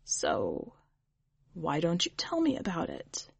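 A woman reading the part of a shrewd, calm witch who speaks plainly and gently. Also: low voice, slow, creaky, husky, dry recording, full character voice.

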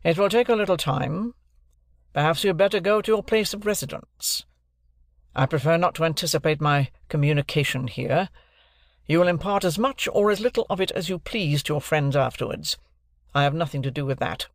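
A man reads as a brusque middle-aged lawyer, stern and exacting, laying out terms in clipped, commanding phrases. The voice sits well above the reader's own and comes out louder and more pressing, with a dry, close sound and no room around it. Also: fairly high pitch, brisk, creaky, dry recording, voice pushed up. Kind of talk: audiobook character voice.

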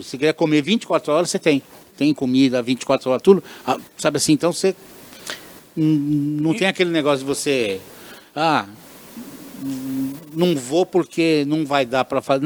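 A man's voice: on the high side, brisk. A man spins anecdotes in a loose, easygoing way, laughing often and repeating himself for emphasis. He comes across as a radio regular chatting with friends. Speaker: radio show regular, guest. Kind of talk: casual conversation, storytelling.

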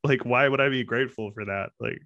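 A man's voice: mid-range voice, fairly quick, fairly bright tone, muffled recording, slight background noise. A man in a casual conversation, joking loosely and leaning on "like" as filler. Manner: loose, joking, says "like" a lot. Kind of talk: casual chat.

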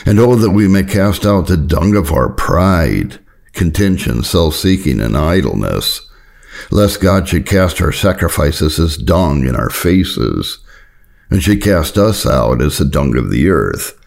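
A man reading an old sermon aloud as an audiobook narrator, in a solemn, earnest, measured and formal way. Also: rumbling low, sing-song, creaky, a little mellow. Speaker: audiobook narrator of Puritan writings.

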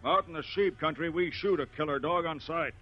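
An actor playing a grim, stubborn sheep farmer with folksy rural talk in a 1950s radio drama. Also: male voice, fairly high pitch, a little fast, natural voice. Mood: angry, threatening. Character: worried, angry sheep farmer set on shooting a dog. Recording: muffled, noisy old radio recording.

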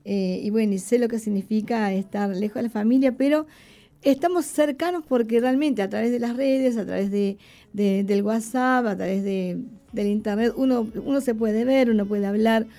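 A woman's voice: a little high, normal speed, dark tone. A woman hosting a casual live radio show, chatting conversationally with her co-host and addressing listeners.

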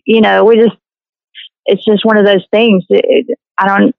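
A woman in her thirties as a podcast guest, talking hesitantly with many pauses and fillers. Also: mid-range voice, little pitch movement, creaky, no room sound, phone-quality sound. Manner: hesitant, many ums and uhs. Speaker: guest, singer and actress. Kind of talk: casual interview chat.

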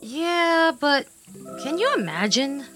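An actress playing a playful, excitable witch in a comic audio drama, upbeat and casual. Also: high voice, slow, soft background music, exaggerated voice. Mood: perky. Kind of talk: audio theatre comedy acting.

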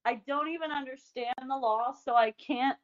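A woman's voice: high-pitched, moderate pace, lo-fi sound, a little hiss or hum. A woman in casual chat, emphatic and easily exasperated, swearing freely while ranting.

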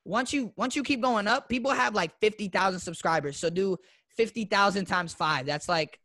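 A man's voice: sits high, normal speed, sharp tone, no echo. A young man from Florida, a guest YouTuber, talking in a loose, slangy way with lots of fillers. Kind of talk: casual interview chat.

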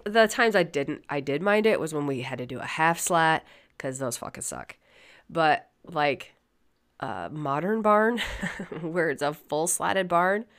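A woman's voice: low voice, creaky. A woman hosting a casual solo podcast, scratchy and sniffly from a head cold, candid and rambling, swearing freely. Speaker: podcast host.

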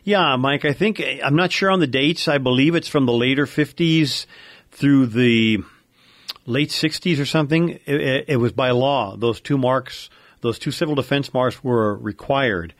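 A man's voice: mid-range voice, a little slow, no echo, studio quality. A man with an American accent explaining technical matters informally, like a knowledgeable regular guest on a radio-themed podcast.

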